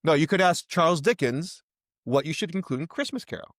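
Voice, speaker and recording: A man's voice: on the high side, expressive, brisk, fairly bright tone, no echo, studio quality. A man hosting a casual podcast interview, speaking in an encouraging, affirming way. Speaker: podcast host interviewing an actor.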